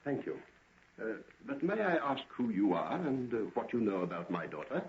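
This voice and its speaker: An actor playing a courteous, inquisitive gentleman in an old radio drama, speaking in a polite, formal, probing manner. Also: medium pitch, very animated, moderate pace, slight background noise, close to an everyday voice. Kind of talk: 1940s radio acting. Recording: dull old radio recording.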